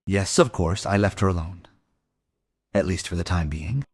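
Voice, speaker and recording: A man playing a world-weary police detective, narrating a crime audio drama in a measured, musing way. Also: low-pitched, loud music behind the voice, natural voice. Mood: reflective. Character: world-weary male police detective telling his case.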